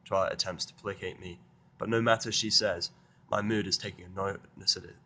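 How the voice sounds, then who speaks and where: Low-voiced, sardonic male story narrator. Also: fairly even intonation, a little fast, sharp tone. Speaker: fan fiction narrator. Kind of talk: first-person dramatized story reading.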